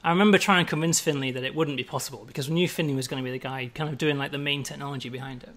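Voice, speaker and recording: A man's voice: medium pitch, fairly even intonation, very fast, fairly bright tone. A man, a game designer, chatting easily with a colleague, laughing often and rambling with restarts. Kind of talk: casual chat with a colleague.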